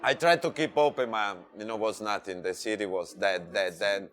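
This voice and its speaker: A man's voice: fairly low pitch, normal speed, fairly bright tone, a little hiss or hum. A middle-aged man with an Italian accent, a chef and co-owner chatting casually, chiming in with short remarks. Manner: terse interjections, chiming in. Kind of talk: casual interview chat.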